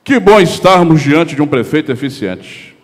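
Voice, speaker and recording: A man's voice: fairly high pitch, big pitch swings, normal speed. A Brazilian man in his forties giving a formal, oratorical political speech at a lectern, with emphatic lists and rhetorical questions. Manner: gestures while speaking at a lectern. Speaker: city councillor. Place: council chamber, indoors. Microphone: handheld gooseneck lectern microphone. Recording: long reverb of a chamber hall.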